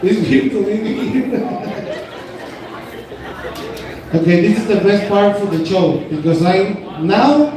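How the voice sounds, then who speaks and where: A man's voice: high-pitched, animated, takes it slowly, long pauses. A Mexican-born man, a native Spanish speaker, doing a stand-up comedy set in broken English mixed with Spanish slang, self-mocking. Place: comedy club stage. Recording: echoey room from far off, crowd behind.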